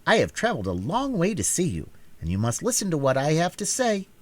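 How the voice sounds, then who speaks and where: A man playing a calm, gracious girl queen. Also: on the high side, a little fast, no room sound, voice pushed up. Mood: composed. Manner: composed, quietly firm.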